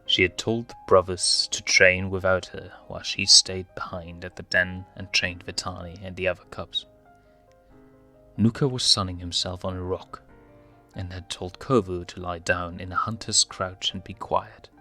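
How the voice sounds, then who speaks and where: Deep, forceful male story narrator. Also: little pitch movement, normal speed, soft background music. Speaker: fan fiction narrator.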